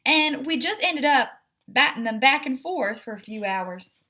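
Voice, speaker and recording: A woman's voice: sits high, lively intonation, unhurried, bright, forceful tone, pitched above the performer's own voice, exaggerated voice. A woman reads the part of a male storybook house cat, earnest and diplomatic. He talks patiently and sincerely, coaxing along with very varied intonation. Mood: matter-of-fact. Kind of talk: children's storybook character voice.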